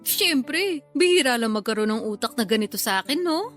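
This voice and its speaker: A woman's voice: fairly high pitch, animated, a little fast, fairly bright tone, faint music behind. A woman playing a pushy, boastful, bantering mother in a radio drama, with a nagging, emphatic delivery. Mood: boastful, teasing. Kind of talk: Tagalog radio drama acting.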